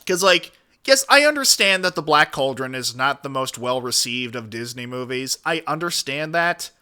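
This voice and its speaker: A man's voice: mid-range voice, lively intonation, moderate pace, pushed and bright, clear, resonant tone. A man giving casual, opinionated commentary as a streamer, talking emphatically and wandering into digressions. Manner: emphatic, digressive, frequent swearing. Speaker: streamer reacting to a video.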